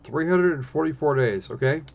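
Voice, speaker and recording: A man giving casual, snarky live commentary on a game, blunt and halting, often restarting his phrases. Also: on the high side, expressive, creaky. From United States. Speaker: live streamer playing a visual novel. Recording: dull, lo-fi stream audio.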